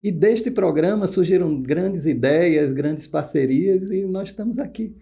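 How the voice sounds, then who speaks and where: Creaky-voiced northeastern Brazilian man. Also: sits high, lively intonation, a little slow, mellow. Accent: northeastern Brazilian, Pernambuco. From Pernambuco, northeastern Brazil. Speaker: guest expert, oncologist. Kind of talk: conversational podcast explanation.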